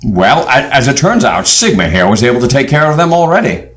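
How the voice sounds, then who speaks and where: A man playing a courteous, wry leader of an adventure team in an audio drama, polite and measured in his wording. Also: mid-range voice, sing-song, close to an everyday voice.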